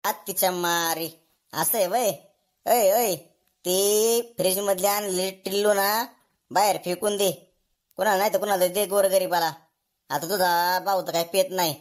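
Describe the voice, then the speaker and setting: A woman's voice: mid-range voice, fairly even intonation, measured pace, lightly acted. Woman acting as cartoon husband, sarcastic, mocking, grumbling, fed up with in-laws, comic domestic dialogue. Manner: mocking, complaining.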